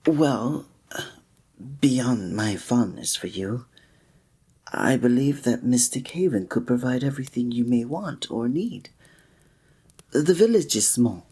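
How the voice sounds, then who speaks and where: Man acting a breathy, courteous fantasy innkeeper. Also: medium pitch. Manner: formal old-fashioned diction, gentle and attentive. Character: kindly, flirty male village innkeeper, courteous and tender. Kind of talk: scripted roleplay audio drama, one-sided conversation with the listener.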